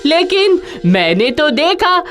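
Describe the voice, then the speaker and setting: Cartoon horror role: a calm young woman, high and slow, gently coaxing. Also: soft background music, heavily acted. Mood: reassuring. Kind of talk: animated horror story acting.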